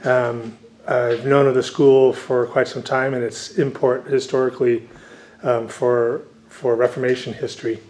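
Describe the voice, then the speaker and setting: A man's voice: medium pitch, level tone, unhurried, a little mellow. A man who grew up speaking Dutch, a visiting scholar and author answering interview questions at length, with many fillers and restarts.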